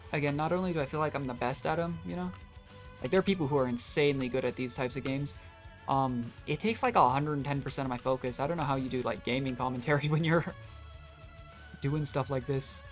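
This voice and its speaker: Low-pitched-leaning none. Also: male voice, fairly quick. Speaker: game streamer. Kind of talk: casual live gaming commentary. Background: game music.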